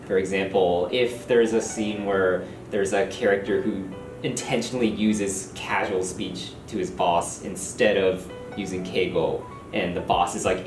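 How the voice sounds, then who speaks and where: Very deep-voiced man, casual explainer. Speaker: localizer. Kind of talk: interview conversation. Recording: echoey room, distant mic, music behind.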